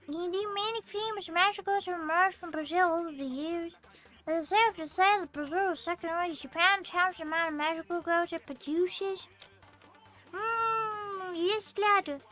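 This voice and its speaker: Role: a very high, bright-voiced magic teacher in a put-on Scottish accent, read by a woman streamer. Formal, slow lecture delivery. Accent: mock Scottish. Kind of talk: reading a visual novel aloud.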